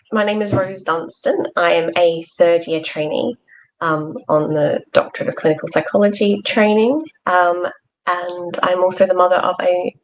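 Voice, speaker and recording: A woman's voice: on the low side, slight background noise, low-quality recording. A woman in her thirties with an Australian accent, a guest talking reflectively in an interview with many ums. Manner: fluent, reflective, lots of ums and "sort of". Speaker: trainee psychologist guest. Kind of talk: interview conversation.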